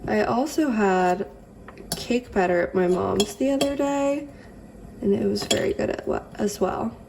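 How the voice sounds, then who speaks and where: A woman's voice: medium pitch, a little slow, vocal fry, background noise. A young woman vlogging casually, chatty and upbeat, sounding congested from a cold. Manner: chatty, upbeat, lots of "like" fillers. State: congested, stuffy nose. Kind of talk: casual vlog chat to camera.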